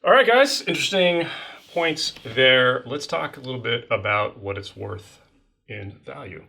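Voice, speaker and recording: A man's voice: mid-range voice, animated, measured pace, vocal fry, bright, forceful tone, slight background noise. Upbeat man leading a whisky review. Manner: upbeat, leads the segments and tallies scores. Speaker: podcast co-host. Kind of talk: casual spirits review chat.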